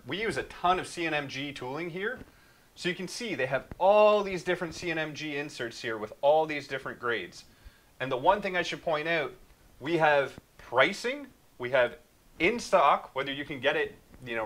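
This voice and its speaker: A man in his thirties hosting a casual, animated shop talk and walking through a website, recorded in a large machine shop. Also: mid-range voice. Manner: animated, gestures broadly while talking. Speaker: show host. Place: machine shop floor, large indoor space.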